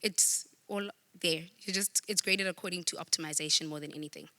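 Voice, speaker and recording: Forceful young South African woman giving a pitch. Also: steady tone, fairly quick. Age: young adult. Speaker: student pitching a project. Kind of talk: prepared pitch presentation.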